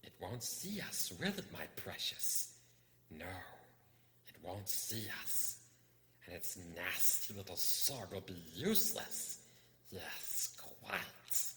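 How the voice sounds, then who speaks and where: A man's voice: low-pitched, very animated, sharp tone, exaggerated voice. A man voicing a wretched, wicked, obsessive cave creature who hisses and whispers to himself in a strained voice, as in a storybook reading. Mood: wicked whispering. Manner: talks to himself, drawn-out muttering. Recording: echoey room with long reverb.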